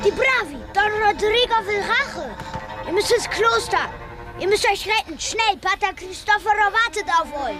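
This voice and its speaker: A frightened youngster raising the alarm in a radio drama. The voice is very high and swoops urgently, with loud music underneath. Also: female voice, expressive, moderate pace. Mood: panicked. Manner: urgent, high-pitched crying out.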